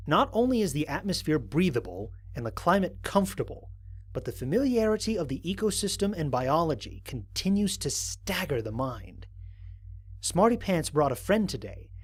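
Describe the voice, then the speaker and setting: A man playing an observant, analytical space explorer, narrating formal mission logs in a measured, precise way for an audio drama. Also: on the high side, husky. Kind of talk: scripted first-person audio drama narration.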